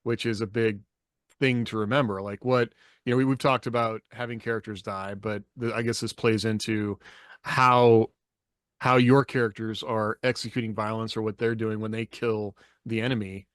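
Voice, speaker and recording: Male co-host, slightly low register, dry recording, opinionated and conversational, rambling but emphatic. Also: steady tone, moderate pace, no room sound. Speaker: podcast co-host. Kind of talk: casual opinionated discussion.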